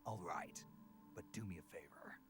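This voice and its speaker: An actor playing a gruff, loyal special forces soldier with a gravelly, breathy delivery in a video game. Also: male voice, deep voice, moderate pace, over music, lightly acted. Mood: guarded, resolute. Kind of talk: video game cutscene acting.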